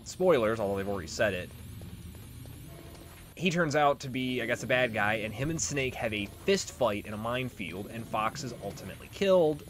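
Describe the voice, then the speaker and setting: A man's voice: medium pitch, lively intonation, fairly quick. A man giving casual, informal let's play commentary as the host of a gaming video.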